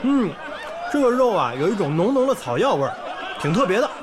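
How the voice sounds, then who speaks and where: An actor playing a young volunteer teacher in a village who speaks warmly and encouragingly. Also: male voice, high voice, big pitch swings, fairly quick, background noise. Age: young adult. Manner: warm, encouraging tone. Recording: background music under the scene.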